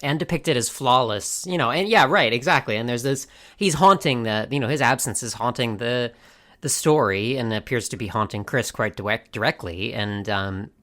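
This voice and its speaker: A podcast co-host chatting casually about fandom, amused and quick to react. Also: mid-range voice, fairly bright tone. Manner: amused, reactive, laughs readily.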